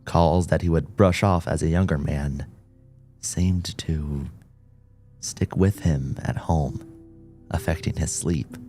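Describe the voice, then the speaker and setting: A man's voice: rumbling low, slow pace, mellow, soft background music. A man reading a scripted story as an audiobook narrator.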